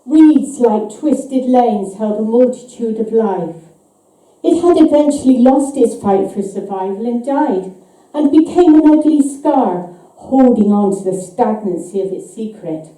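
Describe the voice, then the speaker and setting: A woman giving a live, measured and suspenseful dramatic reading of a short story. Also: on the high side, animated, warm tone. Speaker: author reading her own short story. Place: live reading event, voice picked up from afar. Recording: echoey hall, distant microphone.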